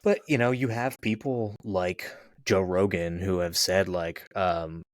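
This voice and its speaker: A man's voice: low voice, fairly even intonation, measured pace, no echo, clean studio sound. A man co-hosting a podcast, talking casually about politics in a rambling, hedging way. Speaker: podcast co-host.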